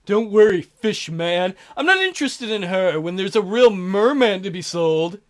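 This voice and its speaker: A man voicing a smug male villain who mocks his captives in a smooth, gloating way. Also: high-pitched, animated, normal speed.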